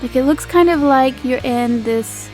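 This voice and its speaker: A woman's voice: high voice, unhurried, a little mellow, soft background music, poor audio. A woman improvising descriptions as game master of a tabletop roleplaying game, in an informal, feeling-her-way manner.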